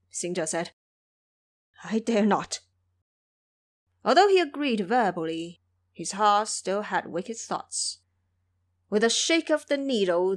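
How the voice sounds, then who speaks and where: A woman reads as the narrator of an audio drama. She tells a scripted fantasy story in a storyteller's style, with a medium-pitched voice.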